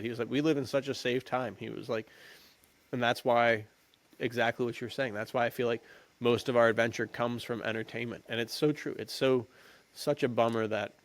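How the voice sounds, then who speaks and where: A young American man co-hosting a podcast, telling stories in a slangy, jokey, casual way. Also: mid-range voice. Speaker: podcast co-host. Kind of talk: casual chat.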